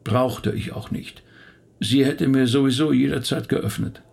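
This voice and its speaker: An actor in a radio drama playing a reserved, courteous middle-aged Swiss rabbi. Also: male voice, medium pitch, measured pace, creaky, a little mellow, breathy edge. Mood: guarded, reflective.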